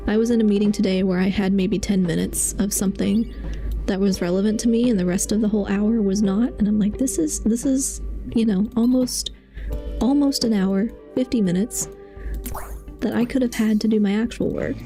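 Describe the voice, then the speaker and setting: Mellow-voiced woman chatting while gaming. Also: medium pitch, normal speed, faint music behind. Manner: chatty and confiding, laughs often. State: losing her voice. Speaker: streamer. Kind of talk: casual chat while playing a game.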